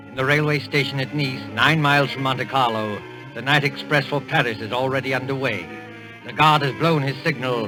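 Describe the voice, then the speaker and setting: Male actor as dramatic suspense announcer for old-time radio, medium register, deliberate delivery, music bed clearly behind. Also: mid-range voice, natural voice, poor audio. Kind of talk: old radio scripted narration.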